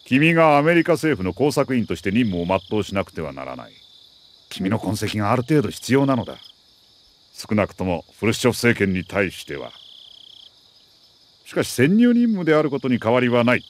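An actor playing a middle-aged army major who briefs an agent in a crisp, authoritative, deliberate way in a video game. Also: male voice, on the low side, slight background noise. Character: male military major, mission commander, precise and earnest. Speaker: mission commander briefing an agent.

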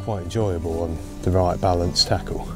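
A man's voice: low voice, fairly even intonation, normal speed. A British man in his thirties giving casual, chatty fishing commentary on a wooded riverbank. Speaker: angler presenting a fishing video. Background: music.

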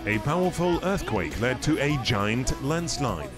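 Man, documentary voice-over, scripted narration, animated pitch, music bed. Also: measured pace.

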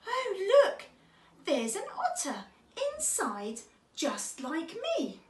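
A woman voicing a timid, clingy, excitable little boy otter in a children's storybook, exclaiming and pleading. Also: high-pitched, slow, pitched above the performer's own voice, exaggerated voice. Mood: amazed. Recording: roomy echo, distant from the mic.

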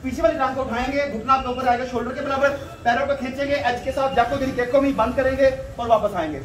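A man leads a martial arts class with commands, counts and pointed questions. His voice sits high. It comes from a distance in an echoey, noisy open courtyard. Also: steady tone, fast talker. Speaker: karate instructor. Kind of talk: live class instruction with drill counts and commands. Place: open concrete courtyard dojo at night. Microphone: distant camera microphone.

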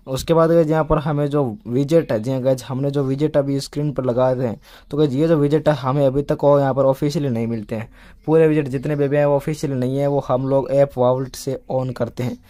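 A man talks at a quick clip in a soft, mellow tone. He hosts casual tech explainers, often repeating himself and addressing his viewers as friends. The sound is close and dry. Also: medium pitch, dry recording. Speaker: tech video host. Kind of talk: casual smartphone update explainer.

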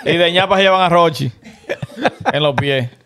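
A man's voice: a little high, normal speed, a bit bright. A man co-hosting a casual podcast chat in a small studio, joking and stumbling over his words. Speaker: podcast co-host. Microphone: studio mic on arm.

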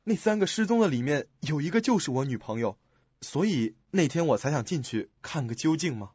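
A man's voice: on the high side, animated, fairly quick, a little mellow, breathy edge, no echo, lo-fi sound. A man playing an earnest, uneasy young investigator in a suspense radio drama. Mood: earnest, subdued.

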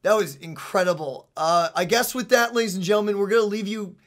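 A man in his twenties hosting a casual game trailer reaction in an excitable, exclamatory way. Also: high voice, fairly quick. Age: 20s. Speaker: reaction show host. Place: small indoor streaming setup.

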